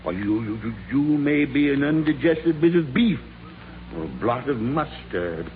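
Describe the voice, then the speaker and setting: A man's voice: medium pitch, lively intonation, normal speed, natural voice. An actor playing a miserly, crotchety old businessman who stammers when rattled, in the style of 1940s radio drama. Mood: frightened, blustering. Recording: scratchy, narrow-band 1940s radio recording.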